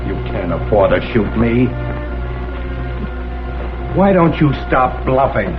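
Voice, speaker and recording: Defiant 1950s crime-drama man, mid-pitched, tinny audio, loud score behind. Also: big pitch swings, takes it slowly. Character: defiant, fed-up man facing down a gun. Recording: tinny vintage soundtrack, loud music behind.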